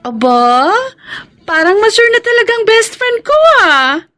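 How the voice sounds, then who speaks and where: A woman's voice: very high-pitched, big pitch swings, a little slow, sharp tone, dry recording, studio quality, heavily acted. A Filipino woman acting a chatty, nosy but caring best friend in a radio drama, expressively. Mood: teasing, curious.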